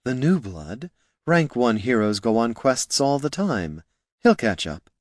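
A man's voice: medium pitch, big pitch swings, a little slow, no echo, studio quality, voice pushed up. Man voicing brash, proud young female mage. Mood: combative. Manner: brash, cutting.

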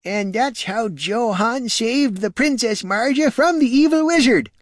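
A man voicing a gnarled, aggrieved old farmer with a high, strained rustic voice in an audiobook. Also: expressive, measured pace, dry recording, studio quality. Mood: wheedling. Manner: pleading, indignant outbursts. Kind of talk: audiobook character voice.

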